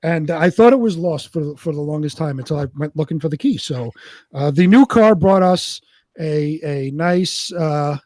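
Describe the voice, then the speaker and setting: A man's voice: fairly high pitch, normal speed, dark tone, dry recording, clean studio sound. A man chatting casually as the co-host of a show. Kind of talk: casual chat.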